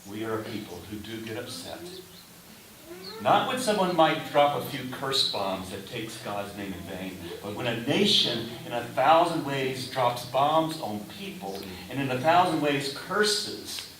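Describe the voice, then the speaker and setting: A man delivering a sermon in a deliberate manner, in short phrases with pauses. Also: mid-range voice, expressive, crowd noise. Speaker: preacher. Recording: roomy echo, distant mic, faint hiss.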